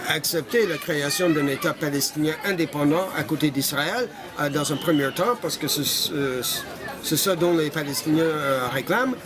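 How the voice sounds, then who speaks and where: An older man from Canada answers questions on a busy street, his slightly high voice full of pauses and restarts. A crowd murmurs loudly behind him. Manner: hesitant, many fillers and restarts. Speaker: interviewee, association founder. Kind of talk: street interview. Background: crowd noise.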